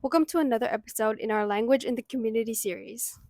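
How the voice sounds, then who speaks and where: A woman's voice: fairly high pitch, fast, a little mellow, no room sound, studio quality. A woman host reading a polished, upbeat scripted introduction to the show.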